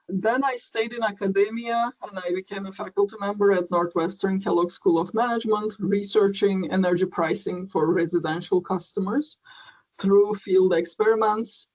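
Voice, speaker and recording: A woman, a tech executive, introducing her background in a measured, orderly way during an interview. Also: mid-range voice, a little mellow, muffled recording. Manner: measured, resume-like self-introduction. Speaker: startup CTO guest. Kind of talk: business interview answers.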